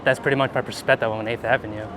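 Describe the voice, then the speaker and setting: A man chatting casually and informally as he is interviewed about street photography outdoors, animated and quick to laugh, swearing now and then. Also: medium pitch. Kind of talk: casual chat while walking outdoors. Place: outdoors on busy city streets. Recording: clear city street traffic noise.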